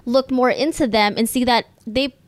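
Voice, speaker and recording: A woman's voice: on the high side, moderate pace, no room sound. A woman co-hosting a podcast in casual conversation, speaking candidly and swearing casually. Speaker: podcast co-host.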